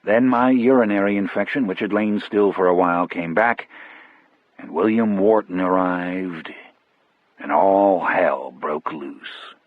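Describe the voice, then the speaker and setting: A man narrating a first-person audiobook story in a measured, reflective manner. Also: deep voice, fairly even intonation, dry recording, phone-quality sound.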